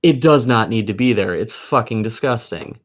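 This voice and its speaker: A man with a fairly low voice chats casually about games. He is blunt and peppers his talk with heavy swearing. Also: animated, normal speed. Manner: blunt, heavily profane. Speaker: gaming podcast co-host. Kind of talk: casual gaming chat.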